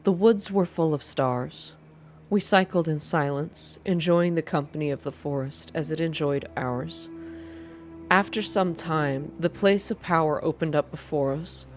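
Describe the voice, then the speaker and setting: Low-pitched woman reading a story, ASMR style. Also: measured pace, soft background music. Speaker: reader.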